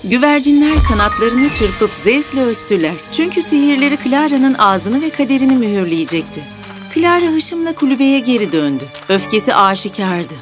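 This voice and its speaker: A woman's voice: fairly high pitch, sing-song, normal speed. A woman narrating a scripted fairy tale as the storyteller. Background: background music.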